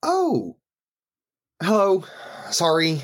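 A woman's voice: mid-range voice, big pitch swings, very slow. An actress playing a chatty, eager, apologetic woman who is the new colleague in an audio romantic comedy. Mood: cheerful, apologetic. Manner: chatty, polite, eager to please.

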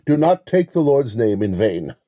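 An actor in a mystery audio play, voicing a wily relic con artist who talks in a measured, formal, condescending way. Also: male voice, mid-range voice, big pitch swings, mellow, no echo, highs cut off, lightly acted. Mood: stern, pious.

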